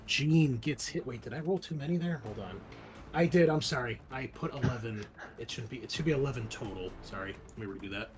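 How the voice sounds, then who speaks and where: A man's voice: medium pitch, brisk, over music. A man game mastering a tabletop roleplaying game, explaining rules and narrating the action in casual talk.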